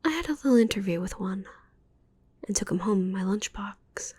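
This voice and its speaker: A woman's voice: medium pitch, expressive, darkish tone, husky. A woman playing a possessive, doting yandere witch in an ASMR roleplay, whispering breathily and intimately with sultry, gloating teasing. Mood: gloating, hushed. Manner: slow, intimate, teasing delivery.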